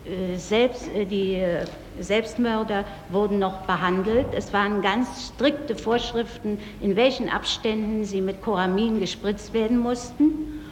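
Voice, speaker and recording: Female witness, halting delivery, mid pitch, reverberant old courtroom tape with faint noise. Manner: halting, searching for words. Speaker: trial witness. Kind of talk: courtroom testimony. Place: large courtroom.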